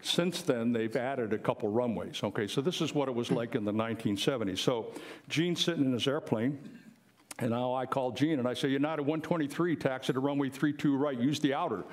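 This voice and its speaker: A man's voice: medium pitch, fairly quick. An older man speaking plainly and explaining things in an informal presentation to a live audience. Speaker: presenter, retired air traffic controller.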